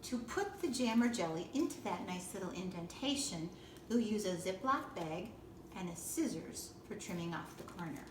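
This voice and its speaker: A woman with a medium-pitched voice explains practical steps in simple, deliberate phrases. She sounds set back from the mic in a very roomy, echoing space. The delivery is that of a demonstration. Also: lively intonation. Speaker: librarian giving a baking demonstration. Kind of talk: step-by-step instructional narration. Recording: distant roomy echo with background noise.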